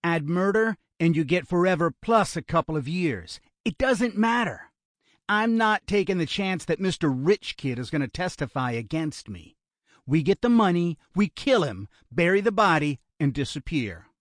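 A man's voice: on the high side, sing-song, normal speed, no room sound, studio quality, voice pushed up. A man voicing a violent, foul-mouthed criminal with an aggressive, sneering delivery for an audiobook thriller. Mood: contemptuous, angry.

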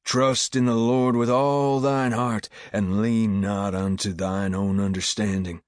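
A fervent revival preacher, acted out by a male audiobook narrator, commanding the room. He declaims old-time sermon lines in drawn-out phrases, with a dry, close sound. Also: lowish voice, no echo.

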